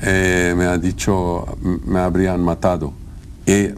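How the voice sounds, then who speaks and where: A man from Lebanon giving an interview in halting, foreign-accented Spanish with broken grammar. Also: low voice, flat delivery, slight background noise.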